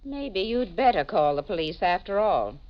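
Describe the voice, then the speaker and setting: Woman doing huffy suspicious housekeeper, 40s radio drama, pitch all over, curt, muffled old audio. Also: very animated, moderate pace, lightly acted. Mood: suspicious, firm. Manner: indignant, curt. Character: large, brusque, suspicious housekeeper. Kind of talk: 1940s radio mystery acting.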